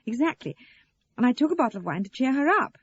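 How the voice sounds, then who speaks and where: A woman's voice: sits high, sing-song, fast talker, slightly rough, muffled recording, pitched above the performer's own voice, exaggerated voice. A woman voicing a flustered, guilty adult daughter in an audio story, hesitant and placating. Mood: confiding.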